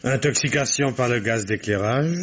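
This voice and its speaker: An actor playing a police commissioner, measured, dry and drawn-out, in a French vintage radio mystery. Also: male voice, medium pitch, natural voice. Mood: musing. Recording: dull, noisy vintage radio recording.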